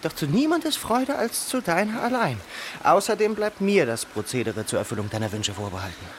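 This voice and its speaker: An actor plays a huge warty fish in a radio drama, striking sly bargains. The delivery is formal and wheedling, with very lively swings in pitch. Clear ambient noise sits behind the voice. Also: brisk, close to an everyday voice. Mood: sly, bargaining. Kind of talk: radio play acting.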